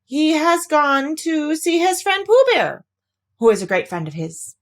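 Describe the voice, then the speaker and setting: A woman acts out a fussy, cagey rabbit from a children's storybook. He sounds prim and evasive, pitched high with very lively swings. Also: unhurried. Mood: wary.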